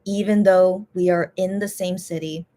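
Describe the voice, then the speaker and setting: A woman's voice: on the low side, fairly even intonation, moderate pace, dark tone. A woman vlogger chatting casually to her viewers, often repeating phrases for emphasis.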